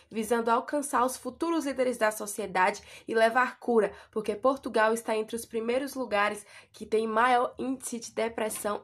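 A young adult woman from Bahia with a Brazilian accent, giving an informal introduction and appeal on video from a room at home. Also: on the high side. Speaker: missionary fundraiser.

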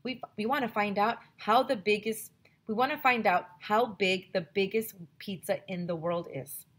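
A woman in her thirties, a teacher on a headset mic, reading a chapter book aloud to children with lively, expressive storytelling. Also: mid-range voice, normal speed. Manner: expressive storytelling, addresses kids directly. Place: small home room with classroom decorations.

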